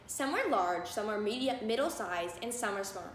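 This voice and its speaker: A woman's voice: mid-range voice, expressive, normal speed. A woman reading a children's storybook aloud as narrator. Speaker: storybook reader. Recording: roomy echo, faint background noise.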